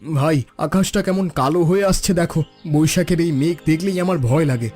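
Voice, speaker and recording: A man's voice: a little high, brisk, a little mellow, faint music behind, natural voice. A man playing a worried younger brother and troubled husband in a cartoon drama, anxious and plaintive. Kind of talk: cartoon family drama acting.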